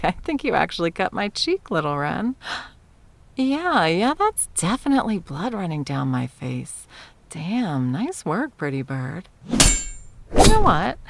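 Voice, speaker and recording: A woman's voice: mid-range voice, no room sound. A woman acting an arrogant, centuries-old immortal sorceress tyrant in an ASMR roleplay, teasing and theatrical, at times slipping into whispers. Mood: thrilled, combative. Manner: mocking, purring, theatrical, slipping into breathy whispers.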